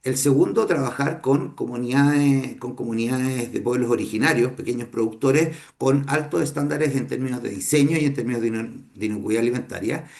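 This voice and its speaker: A middle-aged man with a Chilean accent lectures students. His voice is gravelly and pitched mid-range for a man. He talks it through unhurriedly and often stops to rephrase. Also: a little mellow. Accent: Chilean Spanish. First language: Spanish. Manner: unhurried, thinking aloud with many fillers and restarts. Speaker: business owner teaching a class.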